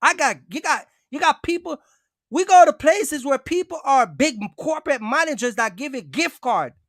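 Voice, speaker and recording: A man's voice: very high voice, expressive, moderate pace, a bit bright, no echo, clean studio sound. A man talking casually and emphatically as a trucking vlogger, repeating himself and addressing listeners directly in streetwise language. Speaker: box truck owner-operator and vlogger. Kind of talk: casual, streetwise storytelling talk.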